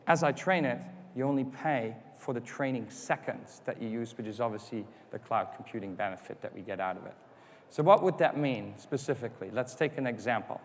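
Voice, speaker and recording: Non-native guy doing a tech talk on stage, mid pitch, lots of rhetorical questions, hall echo. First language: not English. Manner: explanatory, rhetorical questions, acts out customer quotes. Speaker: conference speaker. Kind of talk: tech conference keynote. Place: conference hall. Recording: hall reverb.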